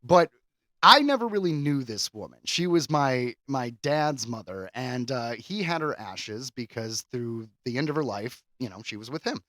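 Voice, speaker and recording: A man's voice: mid-range voice, animated, moderate pace, fairly bright tone, no echo. A man co-hosting a podcast in casual, jokey chat, telling things with theatrical flair. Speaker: podcast co-host.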